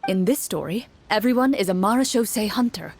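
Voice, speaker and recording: A woman's voice: medium pitch, expressive, moderate pace, darkish tone, slight background noise, natural voice. An actress playing a poised, courteous young woman guiding a tabletop game in a video game, polite and orderly. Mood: composed, helpful.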